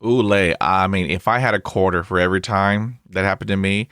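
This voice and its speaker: A man's voice: low voice, fairly even intonation, a little fast, fairly bright tone, clean tone, no echo, studio quality. A man hosting a podcast interview, stuttering heavily with blocks and repeated sounds, upbeat and chatty with lots of fillers. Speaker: podcast host. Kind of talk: conversational interview.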